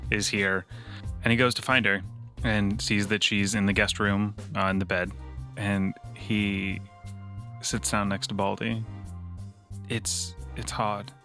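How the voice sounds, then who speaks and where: A man's voice: fairly low pitch, flat delivery, unhurried, pushed and bright, faint music behind. A man playing a tabletop game, improvising narration of his character's actions.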